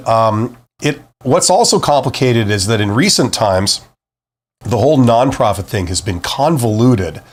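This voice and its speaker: A man recovering from the flu hosts a casual live-stream business lesson. He talks directly to his viewers in a relaxed way, with frequent "uh" fillers. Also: on the low side. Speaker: host and business teacher.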